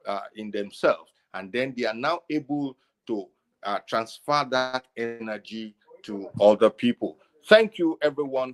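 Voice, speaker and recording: A man hosting an online discussion show, speaking in a halting way with repeated words. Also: mid-range voice. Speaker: show host.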